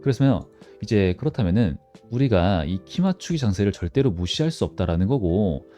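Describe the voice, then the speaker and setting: A man with a low, soft-edged, mellow voice talks viewers through the stock market. His intonation moves up and down in a lively way as he poses questions and answers them. Faint music plays behind him. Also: normal speed. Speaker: stock analysis channel host. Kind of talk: informal market commentary to viewers.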